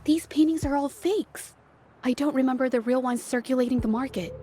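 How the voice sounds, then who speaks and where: High-voiced earnest young woman played by an actress. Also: brisk, dark tone, slight background noise, close to an everyday voice. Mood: thoughtful, puzzled. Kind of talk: video game cutscene acting.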